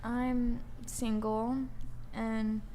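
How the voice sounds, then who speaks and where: A woman's voice: on the high side, flat delivery, drawn-out words, warm tone, a little hiss or hum. An eighteen-year-old woman, a guest on a dating podcast, talking hesitantly with lots of "like". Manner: hesitant, filler-heavy. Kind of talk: casual chat.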